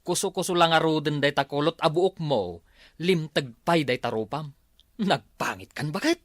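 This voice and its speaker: A man's voice: fairly high pitch, animated, normal speed, no room sound, clean studio sound, lightly acted. A man with an Ilocano accent playing a work-shy, drinking village husband in a comedy drama, with a hesitant drawl full of hums. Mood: bewildered, needling.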